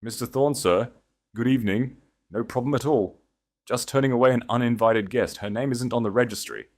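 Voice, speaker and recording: A man narrating an audiobook, voicing a gruff male bouncer, officious and quick to look down on people or defer to rank. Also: mid-range voice, darkish tone, voice pushed up, close to an everyday voice. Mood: deferential. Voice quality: gruff, grunting. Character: burly, dismissive, patronizing male nightclub bouncer turning servile.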